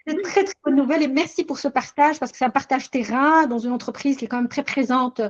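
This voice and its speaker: High-voiced older woman hosting a webinar. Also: fast, highs cut off. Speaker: webinar host. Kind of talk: webinar discussion, summarizing.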